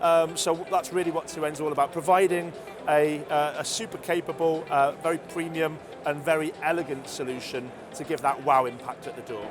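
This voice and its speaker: A man explaining a product conversationally as an interviewee, measured and often hesitating with ums and filler phrases. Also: on the high side, fairly even intonation, music in the background.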